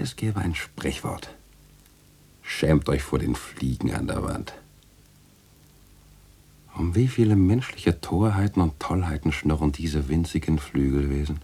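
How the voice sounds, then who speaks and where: A jovial, witty man of letters in a radio play. The voice is very low and husky, with big theatrical swings of melody. He speaks in florid, ironic phrases with a teasing edge. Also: a little mellow, full character voice. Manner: theatrical, chuckling irony with florid literary phrasing. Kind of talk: German radio play acting.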